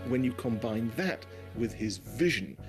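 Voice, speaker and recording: A man's voice: medium pitch, lively intonation, takes it slowly, faint music behind. A man giving confident, explanatory commentary in a documentary interview.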